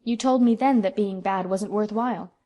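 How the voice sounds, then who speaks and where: A woman's voice: mid-range voice, moderate pace, close to an everyday voice. Dreamy young woman, gushy and poetic, read by a woman narrator. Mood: gentle. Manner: lyrical, warm and gushing.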